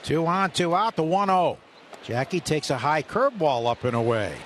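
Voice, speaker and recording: A male radio play-by-play announcer with a somewhat high-pitched voice, calling pitches and hits. His voice jumps up when the ball is put in play. Steady crowd noise from the ballpark fills the background. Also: moderate pace. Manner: rises sharply on live action calls.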